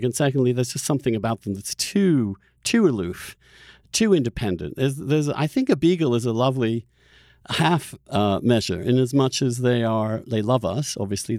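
A man's voice: medium pitch, darkish tone, no room sound, clean studio sound. A man hosting a podcast, chatting casually and animatedly in a conversational interview. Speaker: podcast host.

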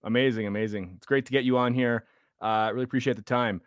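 A man hosting a podcast interview, friendly and relaxed, using casual fillers. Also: medium pitch, fast talker, smooth, dry recording, studio quality. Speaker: podcast host.

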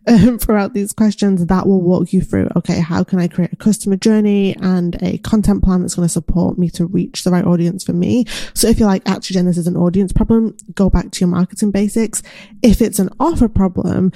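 A woman hosting a solo podcast, answering listener questions in a gentle, encouraging way while croaky from recovering from an illness. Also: fairly low pitch, no room sound, clean studio sound. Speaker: podcast host and business coach. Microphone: close mic, audio only.

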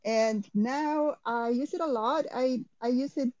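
A woman from Rica, a guest talking frankly and casually in a relaxed, self-deprecating way. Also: high-pitched, measured pace, highs cut off, slight background noise. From Costa Rica. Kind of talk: casual conversation on a live audio chat.

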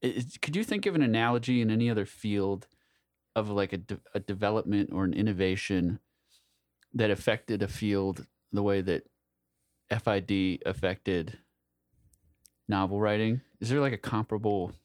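Male co-host, lowish voice, slangy and wry, loose conversational delivery, dry close-mic sound. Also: moderate pace, dry recording. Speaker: podcast co-host. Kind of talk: casual chat.

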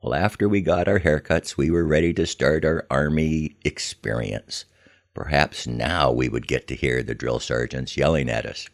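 A man's voice: extremely low pitch, moderate pace. An older man hosting a podcast, telling personal stories in a conversational way with wry, self-deprecating humor. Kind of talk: conversational first-person storytelling.

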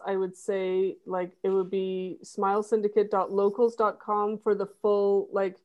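A woman's voice: mid-range voice, a little mellow. A woman who makes comedy fiction podcasts, a guest on an interview show, who talks in a rambling way with many restarts. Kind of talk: casual interview chat.

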